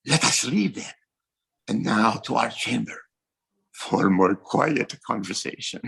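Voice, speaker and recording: A man acting an ardent young French king in an audio play, with a creaky, husky voice that rises and falls freely. He is courtly and effusive, a tender and devoted lover. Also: fairly low pitch, expressive, takes it slowly, a bit bright, exaggerated voice. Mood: earnest. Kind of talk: stage-style audio drama acting.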